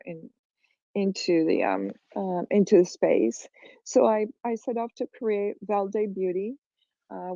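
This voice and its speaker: A middle-aged woman from Peru, a beauty brand founder, talking in a reflective, unhurried way with many fillers. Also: fairly low pitch, creaky, mellow, no echo. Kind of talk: conversational interview on a live audio chat. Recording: dull lo-fi online audio call.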